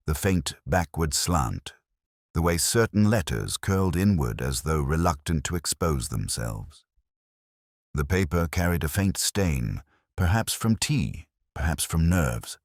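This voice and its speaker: Very deep, solemn male detective narrator. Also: no room sound, clean studio sound. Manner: solemn, measured, literary delivery. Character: melancholic, introspective male police inspector. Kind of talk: scripted first-person detective story narration.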